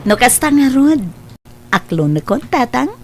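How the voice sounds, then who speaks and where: A woman's voice: high voice, very animated, unhurried, full character voice. A woman acting a sweet, affectionate young sweetheart in an Ilocano audio drama. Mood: shy, happy.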